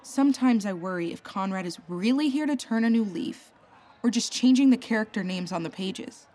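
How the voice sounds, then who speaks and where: An actress playing a brisk, no-nonsense female counselor who sounds exasperated with her charges, in a comedic audio drama. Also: medium pitch, animated, darkish tone, close to an everyday voice. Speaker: counselor at a villain rehab center.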